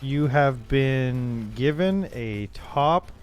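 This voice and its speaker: A man hosting a casual game livestream, thinking aloud haltingly and improvising story prompts as he goes. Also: mid-range voice, lively intonation, faint music behind. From Canada. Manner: halting, thinking aloud, frequent throat clearing. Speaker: livestream host.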